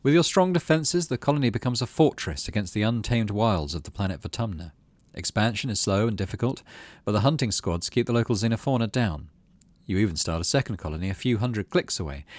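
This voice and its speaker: Low-pitched man, very animated reader. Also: fast, no room sound. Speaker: host of a gaming channel, reading game text aloud. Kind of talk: expressive narration of game text with casual asides.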